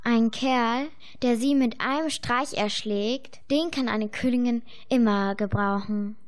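Commanding queen, played by a woman, slightly high voice. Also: measured pace, close to an everyday voice. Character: commanding, calculating fairy-tale queen. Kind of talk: amateur children's fairy-tale radio play.

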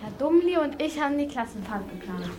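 A female performer playing a brisk, firm schoolteacher in an amateur radio play for children. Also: high voice, sing-song. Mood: brisk, friendly.

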